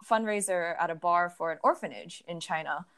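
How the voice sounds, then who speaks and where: A woman's voice: fairly low pitch, brisk, a bit bright, smooth. A young adult woman in casual conversation, telling stories reflectively with many fillers. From United States.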